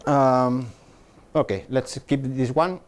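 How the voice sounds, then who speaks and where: A man's voice: medium pitch, fairly even intonation, slow pace. A middle-aged man gives a technical talk to a meetup audience, often repeating words and restarting as he explains. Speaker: conference speaker. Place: meetup room with projector screen.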